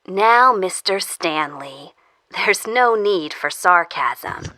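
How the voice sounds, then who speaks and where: A woman as a bright, controlled female captor. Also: on the low side, lively intonation, dry recording, full character voice, low-quality recording. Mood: slow, wary. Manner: measured, formal. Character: cool, controlled female captor, an unseen official voice. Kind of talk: audio fiction dialogue.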